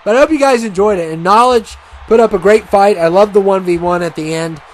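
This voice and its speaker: A man casually commentating a wrestling video game match, rambling and chatty with many fillers, and he says he has a loud voice. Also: high-pitched, a little fast, low-quality recording. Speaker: gaming commentator.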